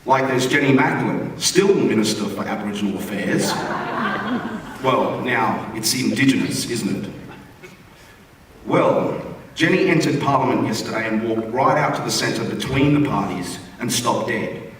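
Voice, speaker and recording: A man's voice: medium pitch, expressive, measured pace. An Australian man reading a written humorous postcard aloud to an audience in a wry, conversational way. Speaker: reader at a theatre forum. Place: large indoor theatre forum venue. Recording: echoey hall, distant mic, room noise.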